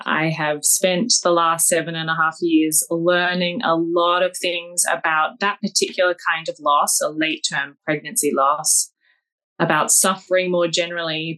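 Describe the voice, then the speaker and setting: A woman with an Australian accent, a guest author giving calm, measured, personal answers in an interview. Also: deep voice, rough recording.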